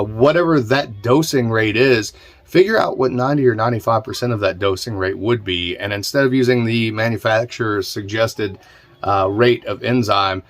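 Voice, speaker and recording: A man's voice: fairly low pitch, a bit bright. An American man hosting a podcast, talking casually and plainly as he explains things and answers questions in an informal, self-deprecating way. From southern Indiana, United States. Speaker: host of a distilling podcast and channel.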